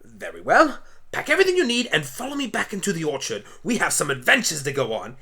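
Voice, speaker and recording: A woman's voice: sits low, very animated, quick pace, pushed and bright, husky, pitched above the performer's own voice, heavily acted. A woman voicing an eccentric, kindly male alien pony doctor, musing theatrically in a fan radio play. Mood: brisk, curious.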